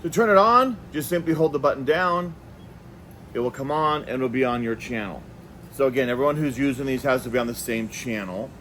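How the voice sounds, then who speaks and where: Male product reviewer, mid-pitched, informal demo delivery with stop-and-start phrasing, light room noise behind. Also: animated, a little fast, a bit bright. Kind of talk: casual hands-on product demonstration.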